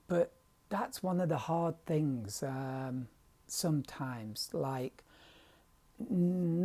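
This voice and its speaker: English man, middle-aged, dry voice, husky, mellow tone, hesitant confessional rambling. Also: a little high. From England. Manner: hesitant, self-deprecating, trailing off into whispers. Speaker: painter vlogger. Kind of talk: rambling confessional vlog monologue.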